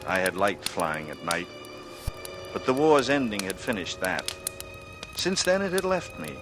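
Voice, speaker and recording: An actor playing a secretive, coolly sardonic young ex-pilot in a 1940s radio drama, with an American accent and a measured, brooding delivery. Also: male voice, fairly low pitch. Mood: brooding, wistful. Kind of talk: 1940s radio drama acting, first-person noir narration and dialogue. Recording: vintage radio recording with prominent music.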